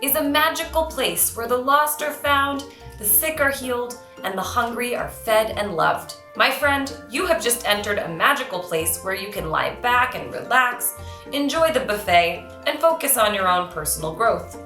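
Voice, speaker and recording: Woman voicing a bright, pompous young tomcat kitten. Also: fairly high pitch, expressive, normal speed. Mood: grand, proclaiming. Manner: pompous, speechifying. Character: cocky, grandiose young male kitten, self-appointed big guy. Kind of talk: storybook character voice. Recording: roomy echo, music behind.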